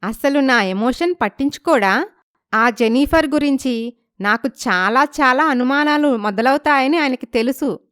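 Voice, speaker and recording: A woman plays a young village wife in a dramatic audio story. She is meek, anxious and respectful, speaking hesitantly in a fairly high, clean voice, recorded dry. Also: unhurried, fairly bright tone, clear, resonant tone, dry recording. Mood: troubled, suspicious.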